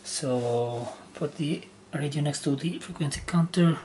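Slow-talking man doing a hands-on demo. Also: a little high, a little mellow. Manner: halting, step-by-step instructions in short phrases. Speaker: radio hobbyist demonstrating equipment. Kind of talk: casual hands-on demonstration. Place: indoor room with wooden surface. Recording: noisy handheld camera recording indoors.

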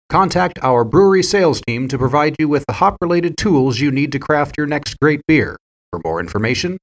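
A man reading a sponsor message in a polished, upbeat scripted style. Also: fairly high pitch. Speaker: sponsor announcer. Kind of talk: scripted ad read.

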